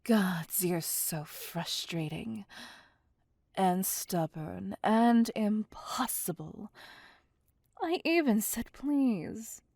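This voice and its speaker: Slow, breathy female vampire, acted by a woman. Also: mid-range voice, expressive, darkish tone, no room sound, clean studio sound, exaggerated voice. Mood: exasperated. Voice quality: breathy, often whispered. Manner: slow, deliberate, condescending endearments. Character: possessive, vain female vampire, commanding and seductive. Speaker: voice actor in ASMR roleplay. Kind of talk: ASMR roleplay acting. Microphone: close, dry microphone.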